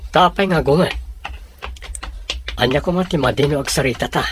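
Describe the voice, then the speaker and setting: An actor playing a polite, helpful young bachelor ranch hand in a radio drama, with a courteous, gentle manner. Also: male voice, fairly high pitch, a little fast, slight background noise, lightly acted. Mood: curious, kindly. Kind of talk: Ilocano radio drama acting. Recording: radio drama with loud music underneath.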